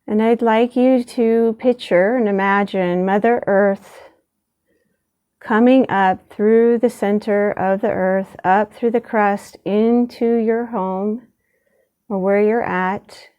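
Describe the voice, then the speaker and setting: A woman leading a gentle guided meditation in a soothing, unhurried way, leaving long pauses between short phrases. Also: mid-range voice, steady tone, clean tone, lo-fi sound.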